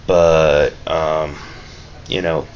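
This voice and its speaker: Male vlogger, notably deep voice, rambling and easygoing, recorded on a dull lo-fi mic. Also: little pitch movement, very slow. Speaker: vlogger reviewing a film. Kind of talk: casual, rambling vlog review. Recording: dull lo-fi mic with clear background noise.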